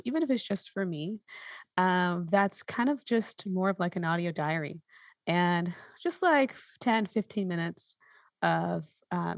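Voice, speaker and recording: Reflective woman with a low, creaky voice. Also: no room sound, studio quality. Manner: thoughtful, hesitant, searching phrasing with rhetorical "right?". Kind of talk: reflective conversational interview.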